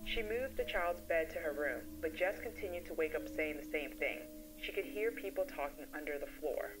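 A composed child therapist played by a woman briefs a colleague in a low voice. She is measured and professional but conversational, in an analog horror case report with music playing behind her. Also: lightly acted, rough recording. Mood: grave, clinical.

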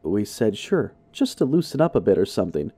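Male narrator for horror stories: very lively pitch, unhurried, close dry sound. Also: breathy edge, dry recording. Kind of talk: dramatic scripted narration.

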